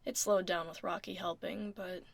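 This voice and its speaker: Woman acting a low, creaky-voiced dragon office lady. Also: steady tone, normal speed, no echo. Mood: dry, casual. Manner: casual, dry, low-key, softly spoken. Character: powerful dragon lady office worker, blunt but caring. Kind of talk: ASMR roleplay.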